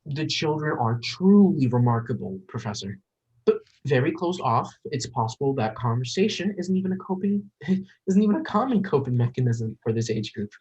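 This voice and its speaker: A man's voice: mid-range voice, very animated, normal speed, a little mellow, dull audio, natural voice. A man playing an earnest, defensive young researcher with a nervous, hesitant manner in a script reading.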